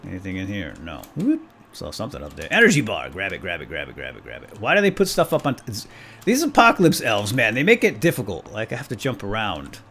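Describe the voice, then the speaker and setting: A man's voice: lowish voice, huge pitch swings, fast talker, gravelly, a bit bright, slight background noise. A man giving casual let's-play commentary, playfully talking to himself with mild folksy exclamations. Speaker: gaming YouTuber, let's-play host.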